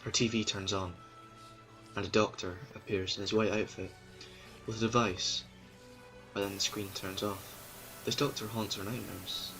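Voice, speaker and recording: Male narrator, low voice, slow ominous storytelling, music under. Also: flat delivery, gravelly, sharp tone, poor audio. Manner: ominous, deliberate, sometimes dropping to a whisper. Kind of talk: scripted audio drama narration. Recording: music playing behind.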